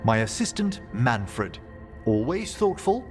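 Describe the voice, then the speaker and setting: An actor playing a courteous, scholarly older necromancer in a video game, with a formal, precise, theatrical manner. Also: male voice, mid-range voice, sing-song, slow pace. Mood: earnest. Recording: music behind the voice.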